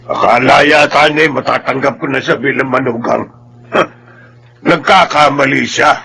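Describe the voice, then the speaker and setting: An actor playing a bitter, gruff old father who sneers at his son-in-law in a Filipino radio drama. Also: mid-range voice, measured pace, pushed and bright, a little hiss or hum. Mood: scornful.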